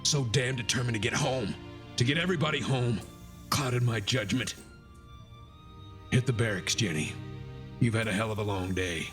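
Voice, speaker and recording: An actor playing a grave, dutiful battalion commander in a sci-fi motion comic, clipped and commanding. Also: male voice, mid-range voice, moderate pace, pauses often, fairly bright tone, close to an everyday voice. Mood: regretful, weary. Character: stern, weary male battalion commander, self-blaming and dutiful. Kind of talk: animated motion-comic drama acting. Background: music.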